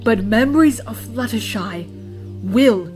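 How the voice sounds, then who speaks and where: A woman voicing a wise, scolding female zebra who speaks in dramatic, deliberate rhyme in an audio drama. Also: on the high side, animated, darkish tone, soft background music. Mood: gentle counsel. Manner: deliberate, rhyming, dramatic delivery. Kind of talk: fan audio drama acting.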